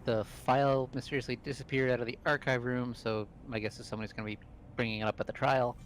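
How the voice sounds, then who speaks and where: Mid-pitched man in casual chat. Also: level tone, fast, slight background noise. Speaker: video game player discussing a mystery with friends.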